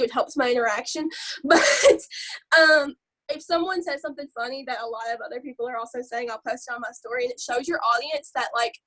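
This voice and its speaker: A woman with a Southern Alabama accent, chatting loudly and rambling casually as she shares tips on a live stream. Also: high-pitched, low-quality recording. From Alabama, USA. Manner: loud, chatty, rambling, laughs often. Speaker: social media seller sharing branding tips.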